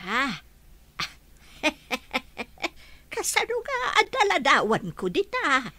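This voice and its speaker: Old grandmother for radio drama, high, slow and bright, lively lilting delivery. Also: dry recording, heavily acted. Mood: bewildered. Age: older. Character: old grandmother, forgetful and gentle.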